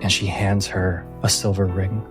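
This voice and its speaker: A man's voice: low voice, level tone, normal speed, over music. A man hosting a tabletop roleplaying game as game master. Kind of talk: tabletop game narration and casual recap.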